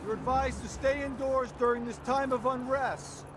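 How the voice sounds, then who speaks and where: Very high-pitched officious town announcer. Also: male voice, steady tone, normal speed, very noisy. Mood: stern, official. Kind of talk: formal public proclamation in a video game.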